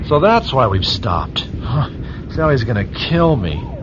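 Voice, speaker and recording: An actor playing a weary, sarcastic commuter pilot grumbling to himself in a radio drama. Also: male voice, mid-range voice, wildly swooping pitch, unhurried. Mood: weary, irritated. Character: weary, irritable male space commuter muttering to himself. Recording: dull, noisy spacecraft cabin sound effects.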